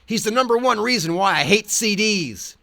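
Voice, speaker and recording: A man voicing an eccentric, excitable cowboy singer who declaims loudly and boastfully, in an audiobook. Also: sits high, normal speed, vocal fry, dry recording, voice pushed up, heavily acted. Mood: indignant howling. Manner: loud, emphatic declaiming.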